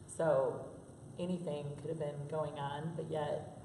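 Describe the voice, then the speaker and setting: A woman, hoarse from allergies, talking frankly about parenting. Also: deep voice, level tone, slow, dark tone, a little hiss or hum. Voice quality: gravelly. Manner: frank, chatty, laughs often. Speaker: interview guest, mother. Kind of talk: candid conversational interview. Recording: echoey room from a distance.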